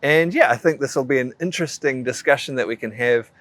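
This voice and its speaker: A man hosting a podcast interview in a relaxed, friendly way, often repeating words as he goes. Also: mid-range voice, fast talker, no room sound. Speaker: podcast host.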